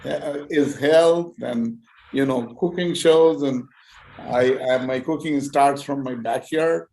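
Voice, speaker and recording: Guy on a video call, slow halting answers, lots of ums, a little noise behind him. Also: mid-range voice, warm tone, low-quality recording. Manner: hesitant, many fillers and restarts. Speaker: guest speaker, physician and YouTuber. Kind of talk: conversational interview answers.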